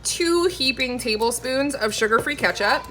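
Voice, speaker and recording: Chatty woman in her 30s doing a cooking vlog, very bright voice, bit of background noise. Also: high voice, lively intonation, normal speed. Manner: chatty, explanatory. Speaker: cooking vlogger. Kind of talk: casual recipe vlog. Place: home kitchen. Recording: faint background noise in kitchen.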